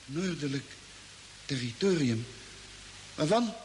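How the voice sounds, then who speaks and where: Disbelieving astronaut, insistent and bewildered, high-pitched, long reverb, vintage radio drama. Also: male voice, expressive. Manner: insistent, halting when bewildered. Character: confused, agitated male astronaut engineer, lost and disbelieving. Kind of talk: old radio drama acting. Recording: hissy old radio recording, long reverb.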